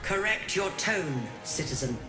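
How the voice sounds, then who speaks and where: An actress playing a stern, officious mechanical city guard in a video game, formal and rigidly commanding. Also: female voice, lowish voice, animated, unhurried. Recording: roomy echo with music.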